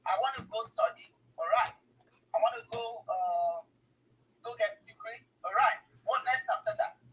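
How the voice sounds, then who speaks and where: A woman's voice: a little high, moderate pace, fairly bright tone, distant mic, rough recording. A woman from Nigeria with a Nigerian accent speaks casually and emphatically over a video call, often repeating words and addressing her listeners directly. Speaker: guest sharing her migration story. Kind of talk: casual advice to international students.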